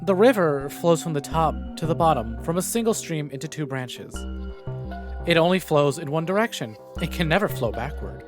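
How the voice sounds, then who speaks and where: Guy reading a mysterious sure-of-herself visual novel woman, higher male voice, slow riddly monologue. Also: animated, music in the background, close to an everyday voice. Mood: cryptic, deliberate. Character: cryptic, self-assured young woman explaining her powers.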